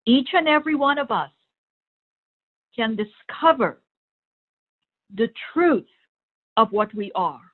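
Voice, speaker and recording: Slow, pausing woman on a phone-like line. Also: a little high, expressive. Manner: deliberate, halting short phrases with long pauses. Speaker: meditation coach and host. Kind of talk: spiritual talk. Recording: thin phone-line sound.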